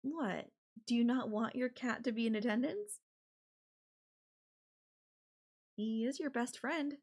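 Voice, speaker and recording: A woman's voice: fairly high pitch, a little mellow. A woman playing a possessive, sarcastic and menacing yandere girlfriend in an audio roleplay, talking with sweet condescension. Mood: calm, sweet. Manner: slow, sarcastic, sweetly condescending with veiled threats. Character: possessive, menacing yandere girlfriend holding her partner captive. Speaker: voice actor.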